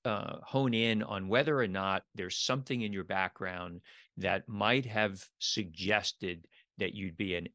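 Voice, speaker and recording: A man with a fairly deep voice hosts an interview podcast. He speaks in a measured, presenter's manner. The sound is very dry, with little room around him. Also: bright, forceful tone, no room sound. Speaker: podcast host. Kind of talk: scripted podcast intro and interview.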